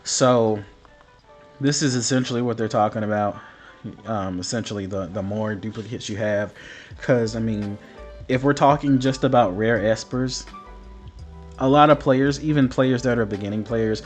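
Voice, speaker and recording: A man with a fairly low voice talks through game updates as a casual host. He is sick and has partly lost his voice, so he rambles and keeps apologizing. Soft music plays faintly behind him. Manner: rambling, apologetic, many fillers. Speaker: gaming video host. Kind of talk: casual commentary over patch notes. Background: faint background music.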